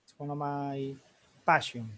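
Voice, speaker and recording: A man's voice: mid-range voice, little pitch movement, a little slow, fairly bright tone. A man from Spain, an English teacher, introducing himself hesitantly on a video call.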